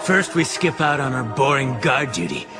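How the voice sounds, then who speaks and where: A man playing a smug guard in an anime dub, theatrical and drawn-out in delivery. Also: mid-range voice, big pitch swings, loud music behind the voice, close to an everyday voice.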